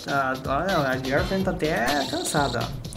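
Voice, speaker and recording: A man's voice: fairly high pitch, sing-song, normal speed, vocal fry. A man in his thirties or forties with a Brazilian accent, chatting casually and teasing playfully during gameplay. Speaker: gaming channel host. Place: room at home, indoors. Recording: loud game music behind.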